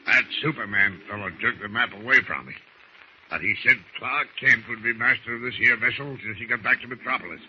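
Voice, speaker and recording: An actor playing a crafty, gruff, bossy sea captain villain in the style of 1940s radio drama. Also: male voice, medium pitch, fairly quick, close to an everyday voice. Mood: scheming. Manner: commanding, plotting. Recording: muffled, very noisy old radio recording.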